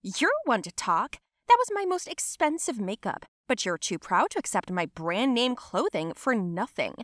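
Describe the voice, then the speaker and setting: A woman's voice: medium pitch, very animated, moderate pace, no room sound, studio quality, close to an everyday voice. A woman voicing a spiteful, shrieking young female cousin in a dramatic story. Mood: taunting.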